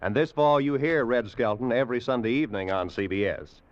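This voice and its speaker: A man's voice: medium pitch, a little fast. A male radio announcer giving an upbeat, polished promo read in 1940s broadcast style. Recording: dull old radio broadcast.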